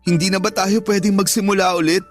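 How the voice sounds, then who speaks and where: A man's voice: high voice, fairly even intonation, quick pace, soft background music, natural voice. A man acting a wounded, devoted young boyfriend in a Filipino radio drama, with a pained, earnest delivery. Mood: pleading. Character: wounded, devoted young working-class boyfriend, pleading and proud.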